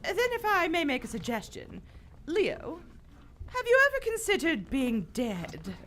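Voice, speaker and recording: A woman's voice: very high-pitched, huge pitch swings, unhurried, noisy background, heavily acted. A woman playing a bold, commanding lady adventurer in live radio theater, recorded on a theater stage. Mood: wry.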